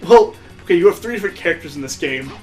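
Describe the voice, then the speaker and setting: A man's voice: a little high, lively intonation, very fast, a little mellow, faint music behind. A man hosting a let's play with casual, sarcastic and amused griping commentary on a video game.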